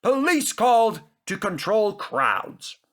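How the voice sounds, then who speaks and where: A man's voice: high-pitched, big pitch swings, slow, fairly bright tone, voice pushed up, full character voice. A man playing a blustery, authoritative railway controller who speaks emphatically. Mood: astonished. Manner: emphatic, exclamatory.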